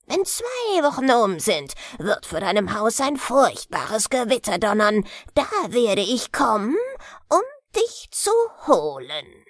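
A woman voicing a menacing, commanding chief devil in a fairy-tale audiobook, speaking in a slow, drawn-out way. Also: medium pitch, very animated, no echo, studio quality, full character voice.

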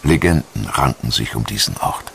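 A man's voice: rumbling low, animated, normal speed, gravelly, noisy background. A man narrating a documentary in a scripted style, as narrator and voice-over.

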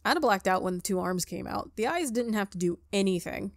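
A woman's voice: mid-range voice, lively intonation, fairly quick, gravelly, no echo, studio quality. A woman reading a visual novel aloud as a player, expressively, with wry and amused casual asides. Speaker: gamer doing a playthrough, reading aloud.

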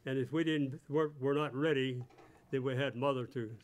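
A man in his eighties with a mid-range voice speaks at a church pulpit. He gives a testimony from notes in a halting way, often repeating a word before he carries on. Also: mid-range voice, steady tone. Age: 80s. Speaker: church member giving testimony. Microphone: microphone on a stand at the pulpit.

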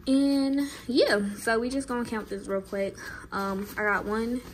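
A woman's voice: fairly high pitch, steady tone, measured pace, background noise. A young woman in her twenties chatting casually to a phone camera in her home kitchen, laid-back and full of fillers. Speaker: vlogger. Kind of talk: casual vlog chat to camera.

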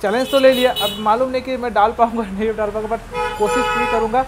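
High-voiced young man vlogging on a phone. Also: little pitch movement, a little fast, music in the background. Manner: chatty, loose and rambling, with frequent restarts. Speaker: small cafe owner and vlogger. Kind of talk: casual selfie vlog and shop tour. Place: small roadside tea shop. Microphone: phone camera held at arm's length. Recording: noisy phone recording with street sounds.

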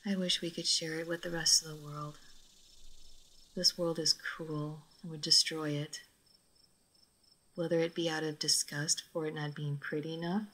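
A woman playing a gentle, melancholy old vampire lady in an intimate roleplay audio drama, speaking softly and formally. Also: sits low. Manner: soft, formal, wistful, sometimes near whispering.

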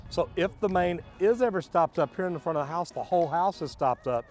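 A man's voice: high-pitched, animated, fairly quick, a little mellow. A middle-aged man, a plumber walking viewers through a building site outdoors and explaining his work in a folksy way, talking straight to them. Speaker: plumber, channel host.

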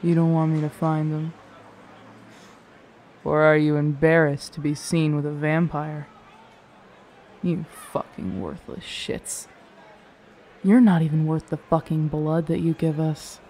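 A woman playing a haughty, predatory young vampire on a drugged high in an audio roleplay, languid and taunting. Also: fairly even intonation, a little slow, pauses often, dark tone, some chatter behind. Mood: contemptuous. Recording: clear background noise and ambience.

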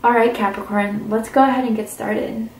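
A woman's voice: on the high side, flat delivery. Chatty tarot-reading woman in a roomy space. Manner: chatty, intuitive, drawn-out "ooh"s and "mm"s. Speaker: tarot reader. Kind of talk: casual tarot reading to camera. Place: indoors at a tarot table with crystals and candles. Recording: roomy, distant camera mic with faint hiss.

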